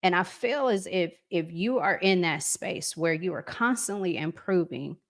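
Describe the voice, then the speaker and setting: A woman hosts a casual, conversational commentary show. Her voice sits a little lower than most women's, and she speaks quite slowly. She thinks out loud and puts rhetorical questions to the people listening. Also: lively intonation. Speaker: host reading and answering viewer comments.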